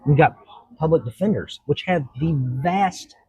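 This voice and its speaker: A man's voice: a little high, lively intonation, moderate pace, dark tone, breathy edge. A man, a judge answering interview questions in an earnest, reflective way and explaining at length. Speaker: judge, talk show guest.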